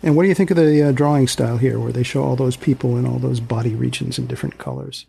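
A man hosting a podcast in a deadpan, understated, conversational way. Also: mid-range voice, fast talker, gravelly, a little hiss or hum. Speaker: podcast host. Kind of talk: conversational interviewing and podcast narration.

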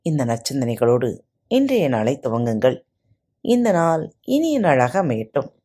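A woman hosting a podcast, narrating a short moral story with expressive delivery. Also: very deep voice, unhurried, husky.

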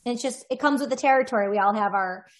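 A woman's voice: on the high side, quick pace. Reflective, rambling woman guest. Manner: reflective, rambling, with frequent restarts. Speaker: podcast guest and voiceover artist. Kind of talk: casual conversational interview.

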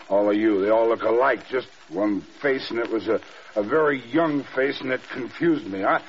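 A man playing a formal, melancholy drunken colonel in an old radio drama, speaking deliberately and haltingly. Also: fairly low pitch, lightly acted. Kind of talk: mid-century radio drama acting. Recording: muffled old radio broadcast, faint noise.

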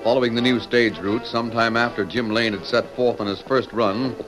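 A male announcer narrates an old radio western in a formal, dramatic storytelling style. His voice sits at a medium pitch, with music playing clearly behind it. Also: steady tone, fairly quick, low-quality recording. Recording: old radio broadcast recording.

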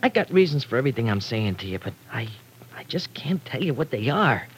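An actor playing an evasive, slangy errand runner in an old-time radio drama, stammering in streetwise slang. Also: male voice, medium pitch, big pitch swings, brisk, a little hiss or hum, natural voice, rough recording. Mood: urgent pleading. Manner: streetwise slang, hesitant and stammering under pressure.

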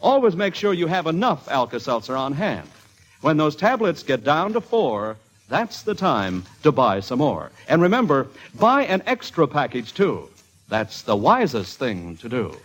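A man's voice: on the high side, wildly swooping pitch, a little slow. A man announcing an old-time radio commercial with an emphatic, instructive sales delivery. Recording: vintage radio broadcast.

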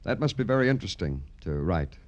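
An actor playing a wry, hard-boiled Broadway police detective in clipped, deadpan old radio noir style. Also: male voice, deep voice, fast. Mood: coolly needling. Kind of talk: 1940s-50s radio noir acting and narration. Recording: vintage radio drama with music.

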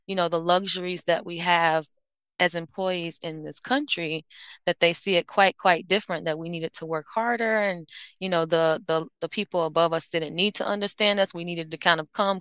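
A woman's voice: fairly low pitch, a bit bright, clear, resonant tone, no room sound. A woman in her thirties from the United States, an I-O psychologist guest, answering thoughtfully with frequent fillers and self-corrections. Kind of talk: reflective interview answers.

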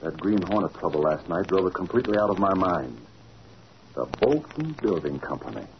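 A man's voice: low voice, sing-song, a little fast. A man playing a domineering crooked businessman in a 1940s radio drama, commanding and contemptuous. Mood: grim realization. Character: domineering crooked businessman, a cold scheming boss. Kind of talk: 1940s radio villain acting. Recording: muffled, noisy old radio recording.

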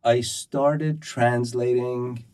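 A man's voice: mid-range voice, steady tone, drawn-out words, darkish tone, clear, resonant tone, recorded from a distance. A middle-aged man from Iran whose mother tongue is Persian, a poet and translator who talks in a thoughtful, hesitant way with frequent restarts. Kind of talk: conversational interview.

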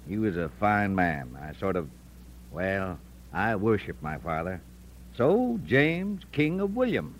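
A man's voice: deep voice, big pitch swings, takes it slowly, full character voice. An actor playing an amiable, eccentric, stubborn frontier newspaperman with a folksy, easygoing manner in a 1940s radio drama. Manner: folksy, easygoing, drawling old-West turns of phrase. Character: amiable, eccentric, stubborn crusading newspaperman in 1850s San Francisco. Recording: noisy old radio broadcast recording.

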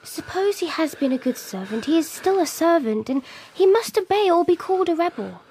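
High-voiced young queen, firm and coaxing. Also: big pitch swings, brisk, a little mellow, slight background noise, exaggerated voice. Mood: firm, urging. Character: young queen, proud, firm, soothing to her weak husband. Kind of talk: dramatic radio play acting with narration.

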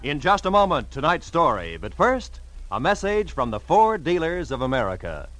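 A male announcer introduces a mid-century radio play over prominent music. His voice is fairly high and very animated. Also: normal speed.

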